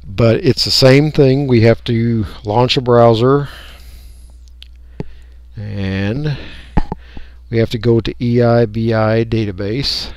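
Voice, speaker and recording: A man talking through a software tutorial step by step in an unscripted, folksy way. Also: medium pitch, fairly even intonation, takes it slowly, pauses often, no room sound. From United States. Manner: unscripted, folksy, explaining each click. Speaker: radio hobbyist giving a tutorial. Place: indoors, voice-over for a screen recording.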